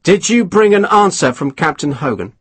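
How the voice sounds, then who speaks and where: Man voicing a terse, even-toned lieutenant. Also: a little high, moderate pace, slightly rough, no echo, voice pushed up, heavily acted. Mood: guarded. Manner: even, clipped, quietly insolent. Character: terse, level-voiced male army lieutenant. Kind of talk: audiobook character voice.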